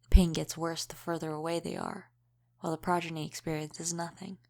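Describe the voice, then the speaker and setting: A woman playing a centuries-old, world-weary vampire mentor in an audio roleplay, speaking in a measured, matter-of-fact, lightly wry way. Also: low-pitched, little pitch movement, creaky, darkish tone. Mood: explaining, wry. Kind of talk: scripted audio roleplay, addressed to the listener.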